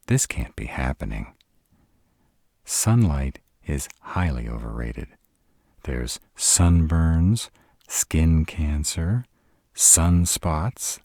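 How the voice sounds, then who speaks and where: A man's voice: rumbling low, dark tone, breathy edge, dry recording, clean studio sound, exaggerated voice. A man playing a sarcastic, irritable vampire in an intimate roleplay audio drama, grumbling and dramatic in his delivery. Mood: dismayed, sardonic. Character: sarcastic irritable male vampire, stranded in a human's home.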